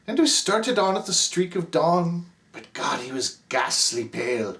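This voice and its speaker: A man's voice: on the high side, very animated, measured pace, fairly bright tone. A man with an Irish accent reciting a ballad as the narrator Cap, in a rhythmic, theatrical delivery. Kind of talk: dramatic poem recitation.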